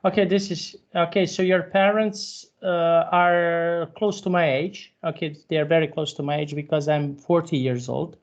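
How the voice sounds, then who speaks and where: A man, teaching a one-on-one English lesson over a video call, patient and halting, often restarting his sentences. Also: on the high side, fairly even intonation, highs cut off. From Serbia. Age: 30s.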